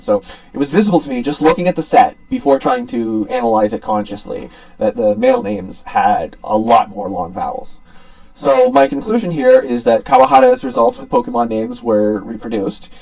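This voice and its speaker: Young man presenting, creaky, lively pitch. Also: distant mic, rough recording. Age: young adult. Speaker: student presenter. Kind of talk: academic slide presentation.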